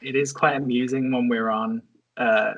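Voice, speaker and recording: A man's voice: mid-range voice, steady tone, moderate pace, a bit bright, muffled recording. A man chatting casually as a panellist on a podcast. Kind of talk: casual chat.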